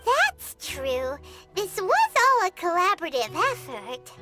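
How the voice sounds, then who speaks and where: An actress voicing a tiny, excitable floating fairy companion who talks about herself in the third person. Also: female voice, very high voice, slow pace, soft background music, heavily acted. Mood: agreeing.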